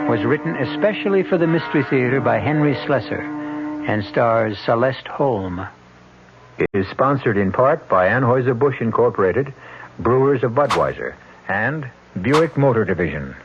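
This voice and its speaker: A man hosting and narrating a 1970s radio mystery drama in a deliberate, dramatic scripted style. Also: medium pitch. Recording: muffled old radio broadcast, music behind.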